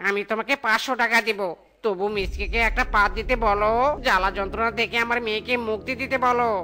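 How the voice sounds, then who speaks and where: A woman's voice: medium pitch, steady tone, quick pace, bright, forceful tone, close to an everyday voice. A woman voicing a worried male monkey, a father in a comedy cartoon, speaking in an urgent, imploring way. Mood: worried pleading. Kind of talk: exaggerated Bengali comedy cartoon acting.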